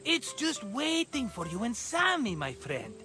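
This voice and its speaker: An actor playing a scheming, jovial merchant with an effusive, wheedling way of talking in a video game cutscene. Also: male voice, extremely high pitch, huge pitch swings, unhurried, bright, forceful tone, faint music behind, exaggerated voice. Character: scheming, jovial merchant turned amateur vineyard owner.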